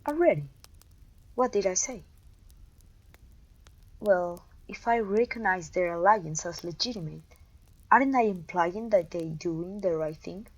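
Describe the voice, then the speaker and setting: A woman's voice: lowish voice, a little slow, pauses often, rough recording. A woman playing a haughty, domineering evil queen and sorceress in a scripted roleplay audio, imperious, scornful and theatrical. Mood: irritated, disdainful. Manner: imperious, scornful, theatrical, with sighs and disgusted groans.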